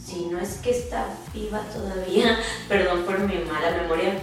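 A woman's voice: mid-range voice, moderate pace, far from the mic. A young woman from Monterrey with a northern Mexican accent, chatting casually and giggly, mixing in some English. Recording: very echoey room, off mic, music behind.

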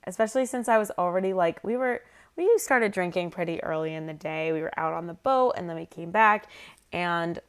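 A woman's voice: lowish voice, fairly quick. A young woman co-hosting a podcast in casual, rambling conversation, her voice a little worn after losing it. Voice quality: slightly raspy from lost voice. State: voice worn from shouting and singing. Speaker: podcast co-host.

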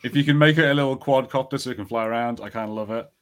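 A man's voice: medium pitch, racing. A man co-hosting a podcast, giving his opinions in casual, conversational panel chat. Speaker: podcast co-host.

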